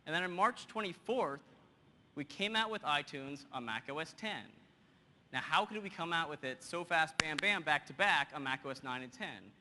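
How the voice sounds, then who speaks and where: A man in his thirties giving a lively live software demo on a conference stage, joking with the audience. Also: a little high. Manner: energetic, quick and joking with the audience. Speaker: presenter. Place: large conference hall stage. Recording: hall reverb, faint noise.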